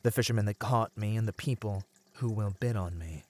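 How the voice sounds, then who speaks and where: A man's voice: on the low side, fairly quick, a little mellow, natural voice. A man voicing a proud, bitter, melancholy captive merman in an ASMR roleplay, speaking in formal, eloquent phrases. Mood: challenging, bitter. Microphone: close studio mic, dry.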